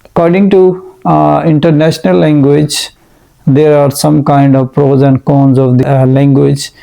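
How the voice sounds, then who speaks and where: Slow gravelly man teaching. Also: fairly high pitch, little pitch movement, dark tone, poor audio. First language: Urdu. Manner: slow, hesitant, many fillers. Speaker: English teacher. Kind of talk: motivational English-speaking lesson.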